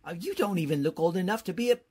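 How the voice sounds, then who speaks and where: A performer voices a flattering, theatrical male professor in an old-time radio comedy. The voice is husky and very animated. He is eager and a little bumbling, given to hesitant filler words. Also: mid-range voice, very fast.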